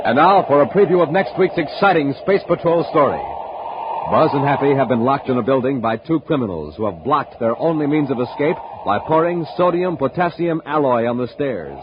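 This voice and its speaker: Old timey radio announcer guy, super animated, punchy kids show sales pitch, dull and hissy. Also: fairly quick. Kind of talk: 1950s children's radio serial announcing and sponsor pitch. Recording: muffled, noisy 1950s radio recording.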